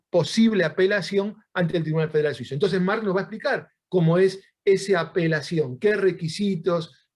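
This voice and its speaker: A man's voice: sits high, darkish tone. A man hosting an online talk, introducing the guest conversationally with frequent fillers. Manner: conversational, many fillers like "eh" and "este". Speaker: host and moderator. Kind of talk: introducing a speaker.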